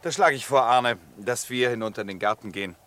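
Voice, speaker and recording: An actor playing a self-assured middle-aged count in a radio play, hearty, chuckling and a little declamatory. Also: male voice, medium pitch, quick pace, fairly bright tone, close to an everyday voice. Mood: breezy, assured. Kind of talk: German radio play acting.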